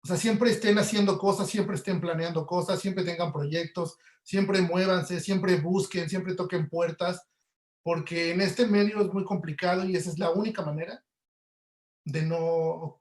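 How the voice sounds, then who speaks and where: High-voiced Mexican man in an echoey room. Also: fairly even intonation, unhurried, a little mellow. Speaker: guest audio producer and Foley artist. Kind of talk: conversational webinar interview answers. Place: indoor room, online webinar call. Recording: roomy, echoey sound.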